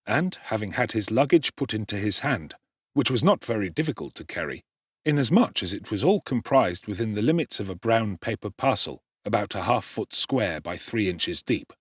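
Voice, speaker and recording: A man narrating a classic novel with expressive, theatrical delivery. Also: lowish voice, breathy edge, no room sound, studio quality. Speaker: audiobook narrator. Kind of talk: dramatic audiobook narration.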